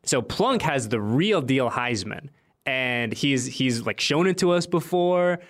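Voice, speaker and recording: A man's voice: medium pitch, animated, slow, fairly bright tone. A young American man hosting a sports podcast, chatting casually in informal, slangy language. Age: late twenties to thirties. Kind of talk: casual interview chat.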